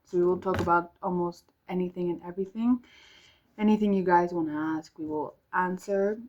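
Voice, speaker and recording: Young south african woman, afrikaans first language, low-ish voice, rambly casual chat at home, kinda muffled lo-fi recording. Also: fairly even intonation, brisk, darkish tone, a little hiss or hum. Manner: rambling, many ums and restarts. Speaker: vlogger. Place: home room with music downstairs.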